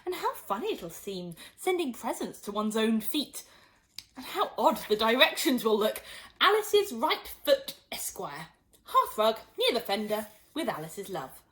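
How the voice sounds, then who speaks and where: A woman plays a fretful little storybook girl with a high, very changeable voice. She is earnest and muddled, quick to scold herself. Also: very animated, a bit bright. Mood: musing.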